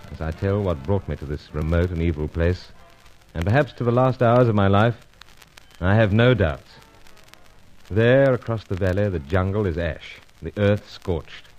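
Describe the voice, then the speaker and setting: An actor playing a gallant, stubborn British secret agent in an old radio adventure serial, with clipped gentlemanly speech and a British accent. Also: male voice, lowish voice, close to an everyday voice. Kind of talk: old-time radio adventure serial acting and first-person narration. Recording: dull, muffled old radio recording.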